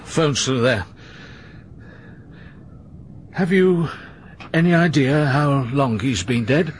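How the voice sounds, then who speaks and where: An actor playing an impatient retired army major, brusque and insistent, in a radio drama. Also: male voice, medium pitch, slow, slight background noise, close to an everyday voice. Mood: uneasy. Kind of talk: 1980s BBC radio drama acting.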